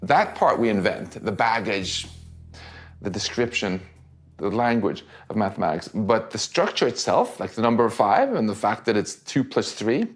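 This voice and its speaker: A man whose first language is Swedish, interviewed in a bright room and explaining emphatically with gestures. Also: on the low side, lively intonation, normal speed. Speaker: interviewed physicist. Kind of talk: documentary interview.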